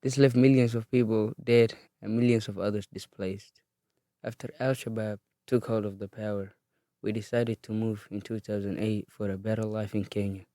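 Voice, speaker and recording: An eighteen-year-old man from Somalia reading his own life story in an earnest, steady way. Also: lowish voice, moderate pace, dry recording. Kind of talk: read personal narrative.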